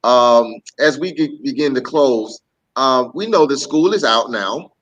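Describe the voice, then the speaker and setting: A man's voice: mid-range voice, lively intonation, measured pace, fairly bright tone, low-quality recording. A man hosting a casual interview, asking a guest questions in a conversational way. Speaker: host.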